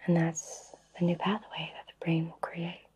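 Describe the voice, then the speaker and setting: A woman whispering breathily in a soothing, reassuring way with long pauses, guiding an ASMR Reiki session. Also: fairly low pitch, little pitch movement, darkish tone, low-quality recording. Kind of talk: whispered ASMR personal attention.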